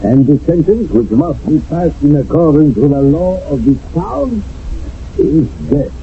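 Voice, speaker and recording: A man's voice: fairly high pitch, lively intonation, a little slow. An actor playing a stern, formal chairman of a wartime village court in a radio drama. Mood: solemn. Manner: formal, solemn, judicial. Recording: lo-fi old radio broadcast, faint noise.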